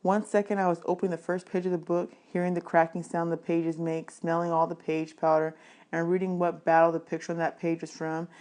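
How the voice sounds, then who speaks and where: A woman's voice: lowish voice, flat delivery, a little fast, darkish tone. A woman reading a children's novel aloud as audiobook narration, in the manner of a teacher.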